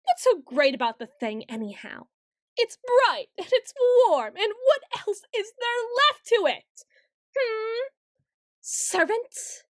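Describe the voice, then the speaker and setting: A woman's voice: high voice, no room sound, clean studio sound, heavily acted. A woman playing a haughty, petulant ancient female vampire in a scripted audio roleplay, speaking in a theatrical, imperious and archly formal way. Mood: indignant. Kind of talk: scripted ASMR roleplay monologue.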